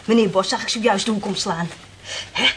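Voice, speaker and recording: A woman's voice: medium pitch, fairly quick, a bit bright, a little hiss or hum, lightly acted. An actress playing a brash, plain-spoken, bantering working-class woman in a Dutch radio play.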